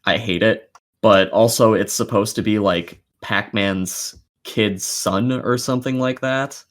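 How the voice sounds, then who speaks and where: A man's voice: lowish voice, fairly even intonation, a little slow. A man hosting a gaming podcast, chatting casually with blunt, disgruntled opinions and plenty of swearing.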